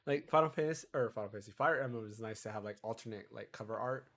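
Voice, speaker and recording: A man hosting a casual gaming podcast, chatty and rambling, a bit hoarse from a cold. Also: lowish voice, racing, a bit bright. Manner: chatty, rambling, self-interrupting. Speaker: podcast host.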